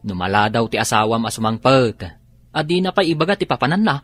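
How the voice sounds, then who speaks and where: A man's voice: medium pitch, lively intonation, quick pace, fairly bright tone, dry recording, soft background music. A man with an Ilocano accent playing a gossiping household helper in a radio drama.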